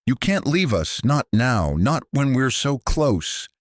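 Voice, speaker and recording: A man's voice: mid-range voice, very animated, slow, no room sound, studio quality, pitched above the performer's own voice. A man voicing a group of hungry undead asylum patients who coax and threaten in a sinister way. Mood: menacing. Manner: coaxing, sinister.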